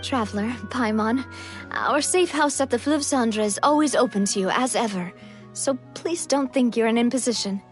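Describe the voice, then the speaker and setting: Actress as a gracious young leader, fairly high. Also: female voice, normal speed, faint music behind, natural voice. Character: kind, composed young woman leader, tired but gracious. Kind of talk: video game voice acting.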